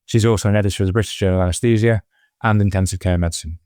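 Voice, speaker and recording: A man hosting a medical podcast, introducing his guest and asking brisk, friendly questions. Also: deep voice, steady tone, darkish tone, smooth, no room sound, studio quality. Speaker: podcast host. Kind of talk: podcast interview.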